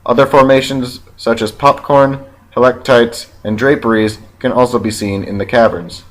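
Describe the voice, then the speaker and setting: A man narrating an educational film from a script in a measured, factual, read-aloud way. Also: medium pitch, fairly even intonation, rough recording.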